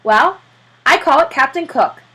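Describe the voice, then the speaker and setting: An earnest, proud storybook gentleman, played by a woman reader. He explains eagerly in a high, bright voice with lively rises. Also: measured pace, full character voice, poor audio. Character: earnest, proud middle-class man with pet penguin.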